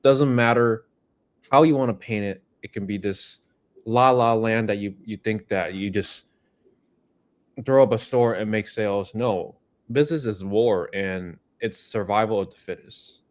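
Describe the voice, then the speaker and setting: Frank man with a low voice. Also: measured pace. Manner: frank, motivational, tough-love. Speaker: co-host answering chat questions. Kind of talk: casual live-stream chat.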